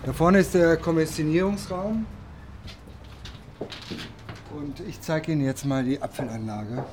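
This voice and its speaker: A man's voice: a little high, unhurried, close to an everyday voice. An actor playing a down-to-earth, somewhat hesitant male owner of a family mineral spring in a radio drama. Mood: welcoming. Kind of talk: naturalistic radio drama acting. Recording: loud-ish plant machinery noise behind.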